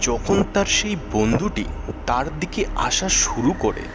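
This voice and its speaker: Man narrating with very lively pitch over music. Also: a little slow. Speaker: horror story narrator. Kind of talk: scripted ghost story narration. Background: background music.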